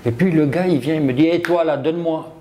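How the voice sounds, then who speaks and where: A man's voice: on the high side, fast talker, dark tone, a little hiss or hum. A man from Côte d'Ivoire, telling his own story emphatically as an interview guest. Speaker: interview guest, self-taught property developer.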